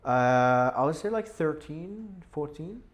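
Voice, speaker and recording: A young man who grew up in Syria, a podcast guest telling his life story in a relaxed, chatty way with frequent fillers. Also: fairly high pitch, slow. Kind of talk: casual podcast interview. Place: indoor podcast setup.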